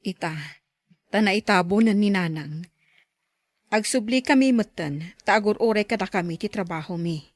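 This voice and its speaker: A woman's voice: mid-range voice, normal speed, long pauses, no room sound, natural voice. Woman acting a matter-of-fact older sister, dry sound. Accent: Filipino. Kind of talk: Filipino radio-style drama acting.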